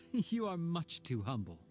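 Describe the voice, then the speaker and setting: An actor playing an affable, well-mannered detective in an anime-style video game, talkative and polite. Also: male voice, a little high, wildly swooping pitch, slow, soft background music, exaggerated voice. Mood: admiring, obliging.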